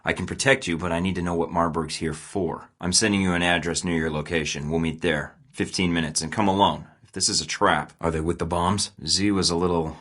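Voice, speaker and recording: A man's voice: deep voice, fairly even intonation, brisk, few pauses, a bit bright. An actor playing a terse, dry-witted male spy agent in game voice lines. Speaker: video game character.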